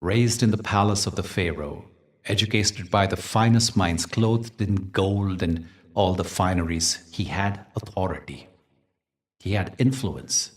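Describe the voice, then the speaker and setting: A man hosting a guided meditation, speaking and telling stories in a gentle, deliberate, soothing way with dramatic pauses. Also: sits low, recorded from a distance. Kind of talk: guided meditation talk with storytelling.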